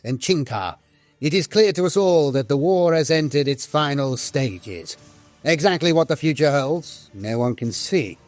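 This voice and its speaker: A man playing a grave veteran military officer, reading a war journal in a formal, measured, dramatic style. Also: medium pitch, no room sound, close to an everyday voice. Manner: solemn, formal, measured delivery. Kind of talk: scripted dramatic audio-drama narration.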